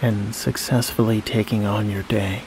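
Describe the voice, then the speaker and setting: Low, even-toned man guiding sleep hypnosis. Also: poor audio. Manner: slow, soothing, long pauses between phrases. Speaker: hypnosis guide. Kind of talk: guided sleep meditation. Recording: steady rain-like noise behind voice.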